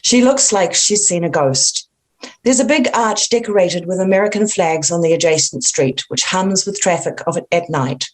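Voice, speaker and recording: A woman with a slightly low voice narrates a screenplay at a table read. She delivers the stage directions in a plain, matter-of-fact way, as scripted narration.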